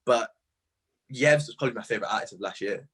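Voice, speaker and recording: A man in his twenties with a husky voice, a guest artist chatting casually and telling stories in animated slang. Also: medium pitch, fast talker. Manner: animated slangy storytelling, quoting own reactions. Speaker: interview guest, music artist. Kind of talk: casual chat.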